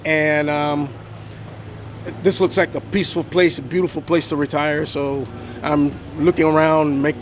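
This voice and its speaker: Older man, relaxed, outdoors. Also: a little high, unhurried, a little hiss or hum. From Miami, Florida. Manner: relaxed, hesitant with fillers. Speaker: interviewee. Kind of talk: casual street interview.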